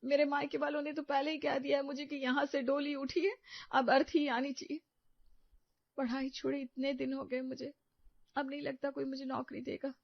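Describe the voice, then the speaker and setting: A woman voicing a patient young wife who is stuck in a hard marriage, in an animated storybook. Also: sits high, moderate pace, gravelly, no echo, pitched above the performer's own voice. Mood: resigned. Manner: sorrowful, resigned. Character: patient, resigned young wife, trapped and sad. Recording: dull lo-fi dry recording.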